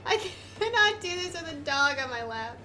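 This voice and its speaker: A woman's voice: high-pitched, animated, moderate pace. A woman giving casual, informal, mildly sweary commentary while she plays a video game. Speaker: let's player. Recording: game music behind voice. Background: video game music and battle audio.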